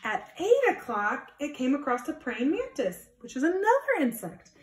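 A woman's voice: sits high, very animated, moderate pace. A woman in her thirties reading a storybook expressively to children, adding simple explanations. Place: small plain indoor room. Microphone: phone or camera a few feet away. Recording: echoey room, distant phone mic.

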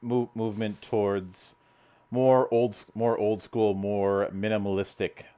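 A man's voice: lowish voice, little pitch movement, measured pace, mellow. A man hosting an interview, asking long, rambling questions with fillers. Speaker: interview host, vtuber. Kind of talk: casual interview over a call.

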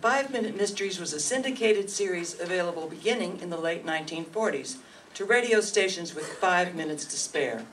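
An older woman playing a brisk country doctor and narrating a 1940s radio mystery. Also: fairly low pitch, natural voice. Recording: distant hall mic, faint noise.

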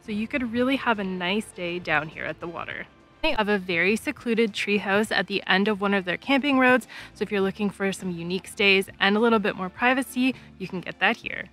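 Female travel vlogger, thirties, casual narration with soft music underneath. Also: mid-range voice, brisk.

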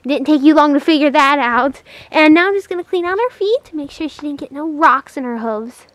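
A woman's voice: sits high, lively intonation, a little fast, no echo. A woman narrating a casual horse vlog in a chatty way, speaking fondly of her animals. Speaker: horse vlogger.

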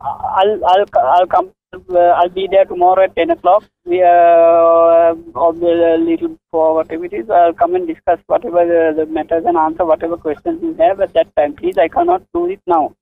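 Sri guy on a bad phone line, highish voice, keeps stalling and repeating himself, polite. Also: animated, normal speed. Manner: hesitant, repetitive, polite protesting. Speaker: prank call victim. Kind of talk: phone conversation. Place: office. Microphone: telephone. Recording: tinny narrow phone line.

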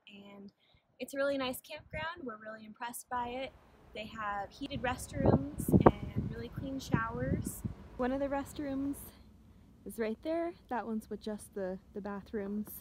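A woman's voice: fairly high pitch, a little mellow, a little hiss or hum, rough recording. A young adult woman casually narrating her travels as a vlogger, recorded outdoors on a phone or small camera.

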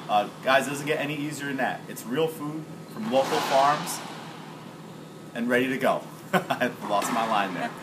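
A man's voice: medium pitch, normal speed. A man in his thirties hosting a casual, upbeat interview, filmed on a camera from across an indoor room. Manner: upbeat, chatty, gestures while talking. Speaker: host. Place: bright indoor room with glass wall. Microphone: far camera. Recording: camera audio with loud background noise.

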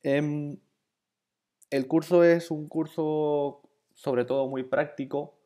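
A man from Bolivia, introducing an online programming course in a relaxed, conversational way. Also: on the high side, takes it slowly. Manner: relaxed, conversational, with fillers and repeated encouragement. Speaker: course instructor. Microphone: voice-over over slides.

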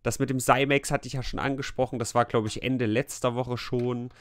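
A man co-hosting a podcast, teasing his partner and laughing between jokes. His voice sits at an ordinary middle pitch. The sound is dry and close, with no room around it. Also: steady tone, brisk, no room sound. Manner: joking, teasing, laughs often. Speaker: podcast co-host. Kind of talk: casual podcast banter.

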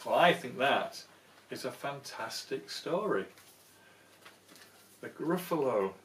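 Slow, expressive older man reading a story. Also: mid-range voice, a little hiss or hum. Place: small room indoors full of soft toys. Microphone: camera a short distance away. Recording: roomy, distant microphone.

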